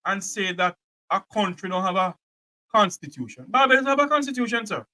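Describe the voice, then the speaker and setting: A man's voice: sits high, expressive, a little fast, sharp tone. A man hosting a call-in talk show, pressing a caller hard and repeatedly cutting in with "sir.". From Jamaica. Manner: insistent, interrupting, repeats "sir". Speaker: radio call-in show host.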